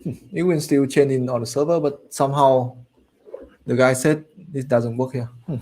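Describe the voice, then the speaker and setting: A man whose first language is Vietnamese, casually talking through his code as he writes it, muttering to himself with lots of fillers, his voice worn low from talking. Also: steady tone, normal speed, a little mellow, poor audio. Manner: thinks aloud, muttering to himself with frequent fillers. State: voice getting low and tired. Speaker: coding tutorial host. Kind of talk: casual live coding walkthrough.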